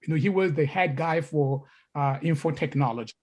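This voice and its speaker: A man's voice: on the high side, fairly quick, darkish tone. A middle-aged man from Congo giving a lecture as a story, repeating words and restarting sentences.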